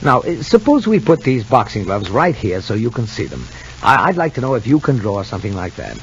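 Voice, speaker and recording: An actor playing a kindly, patient doctor in a 1950 radio soap opera, gently coaxing and encouraging in a folksy way. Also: male voice, mid-range voice, huge pitch swings, brisk. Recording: muffled, noisy 1950 radio recording.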